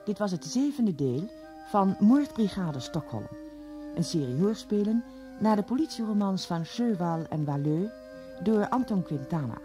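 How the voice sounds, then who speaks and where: A woman's voice: medium pitch, big pitch swings, a little slow, over music. A woman reading scripted closing credits as the announcer of a radio drama.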